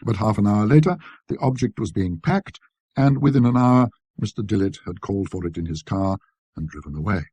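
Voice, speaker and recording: A man reads a classic ghost story with scholarly precision. His low voice is mellow and a little husky, rising and falling with great animation, in a close, dry recording. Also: expressive, brisk, dry recording. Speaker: narrator. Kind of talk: scripted ghost story narration.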